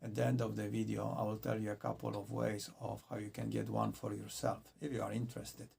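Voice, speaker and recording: Man, book reviewer, measured and earnest, raspy, gravelly. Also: on the low side, flat delivery, a little mellow. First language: Polish.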